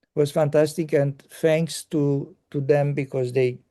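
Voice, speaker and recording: A man hosting a casual conversation, talking haltingly with many pauses and fillers. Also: on the high side, steady tone, dark tone. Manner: halting, pausing often with fillers.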